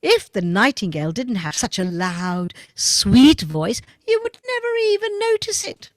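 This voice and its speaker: A woman playing a kindly, patient older male farmer in a children's story, speaking gently and reassuringly. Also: mid-range voice, sing-song, unhurried, no room sound, studio quality, voice pushed down. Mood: gentle.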